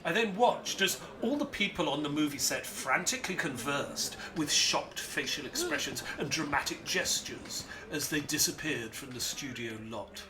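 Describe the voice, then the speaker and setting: An actor playing a polite, earnest detective's companion who narrates an audio drama in a formal, theatrical storytelling manner. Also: male voice, fairly high pitch, lively intonation, moderate pace, hardly any pauses, crowd noise, natural voice, rough recording. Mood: dramatic narrating.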